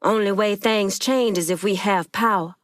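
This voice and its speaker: A woman's voice: mid-range voice, moderate pace, dry recording, clean studio sound, lightly acted. An actress playing a tough, shrewd Haitian woman gang boss in a video game, blunt, streetwise and commanding. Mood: firm, commanding.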